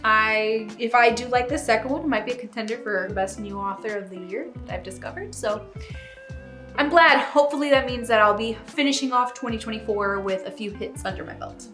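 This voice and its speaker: A woman's voice: on the high side, a little fast, fairly bright tone. Woman chatting casually in a roomy, echoey space. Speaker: book vlog co-host. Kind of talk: casual book chat. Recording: roomy echo, faint music behind.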